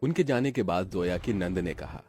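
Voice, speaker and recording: Low-voiced male cartoon story narrator. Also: animated, moderate pace, a little mellow, slightly rough, faint music behind. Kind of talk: dramatic scripted storytelling for a cartoon.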